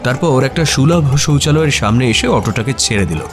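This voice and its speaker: A man acting a detective's puzzled, admiring friend who narrates a mystery audio story in an expressive, suspenseful way. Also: medium pitch, brisk, close to an everyday voice. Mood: storytelling. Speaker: narrator and sidekick character. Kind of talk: dramatized first-person audio story narration. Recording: music behind the voice. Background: music.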